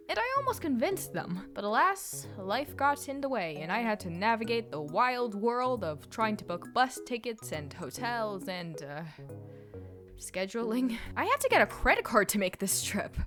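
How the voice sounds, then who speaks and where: Lively young Canadian vlogger, Russian native. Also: mid-range voice. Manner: animated, self-deprecating storytelling. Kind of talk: casual vlog narration.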